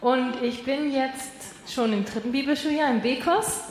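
A woman, a third-year Bible school student, introduces herself in a plain, measured way. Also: high voice. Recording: echoey hall from far off.

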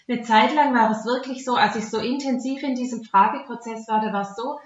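A woman with a Swabian accent gives an earnest, conversational devotional talk. Her voice sits fairly high. The recording is quite echoey and slightly dull, lacking crispness in the sound. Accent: Swabian German. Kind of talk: devotional talk mixing Bible teaching and personal testimony. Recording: roomy echo, dull muffled sound.

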